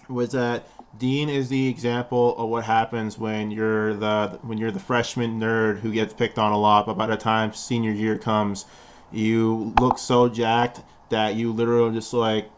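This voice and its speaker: A man's voice: lowish voice, a little hiss or hum. A young man with a lisp, co-hosting a casual wrestling prediction chat and rambling with repeated words. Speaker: podcast co-host.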